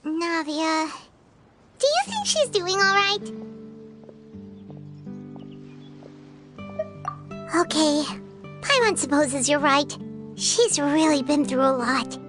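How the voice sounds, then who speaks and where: A woman's voice: high-pitched, lively intonation, a little slow. A woman voicing a tiny, chirpy, nosy childlike companion creature who talks about herself in the third person. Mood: sympathetic. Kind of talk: game cutscene voice acting. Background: soft game music.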